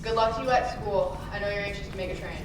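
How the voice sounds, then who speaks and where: A woman playing a formal, polite, measured board chair in an old-fashioned radio play. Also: mid-range voice, steady tone, noisy background, lightly acted. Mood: courteous. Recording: distant echoey hall, music behind.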